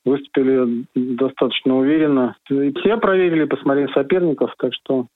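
Male coach interviewee: plain factual delivery, mid pitch, phone-band audio. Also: a little fast, dry recording. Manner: matter-of-fact. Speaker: senior sports coach being interviewed. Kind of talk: unscripted interview answers. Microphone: phone call. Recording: narrow telephone line, dry.

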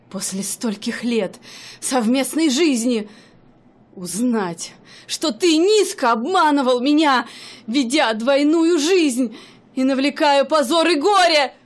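Brief: actress as an outraged jealous wife, high voice, slow biting scolding, radio play. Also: female voice. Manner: indignant, scolding, theatrical outbursts. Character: furious jealous wife accusing her husband.

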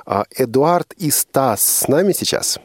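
Guy hosting radio interview, really animated intonation, keeps rewording his questions, close dry studio sound. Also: medium pitch, measured pace, no room sound.

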